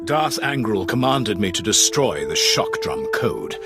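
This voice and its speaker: A villainous Sith lord of stern honor, low in pitch and formal in delivery. His measured, dramatic game dialogue moves slowly over prominent music. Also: sing-song. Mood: solemn, respectful. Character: grave, honor-bound Sith warrior lord, a male villain. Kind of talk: dramatic video game acting.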